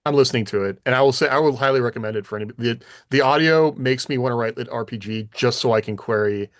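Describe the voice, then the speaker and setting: Man, medium pitch, dry close recording, podcast co-host, casual chat. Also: quick pace, fairly bright tone, dry recording.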